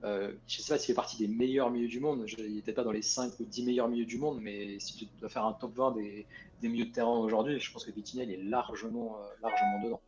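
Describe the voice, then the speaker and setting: A man's voice: on the low side, fairly even intonation, fast talker, dull audio. A man on a casual football panel asking questions hesitantly, with many restarts and a chuckle.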